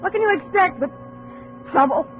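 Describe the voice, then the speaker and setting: A woman's voice: extremely high pitch, expressive, moderate pace, over loud music. An American woman playing a world-weary, self-blaming dame in a radio drama, plain-spoken and resigned. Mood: weary, bitter. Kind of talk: 1950s radio drama acting. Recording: tinny, narrow-band 1950 radio broadcast.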